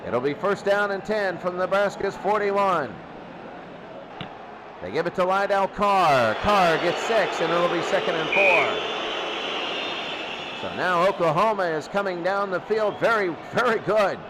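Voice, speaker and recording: A man's voice: sits high, expressive, unhurried, noisy background. A man doing live football play-by-play in short, repetitive sentences, as the announcer. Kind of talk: live play-by-play sports commentary.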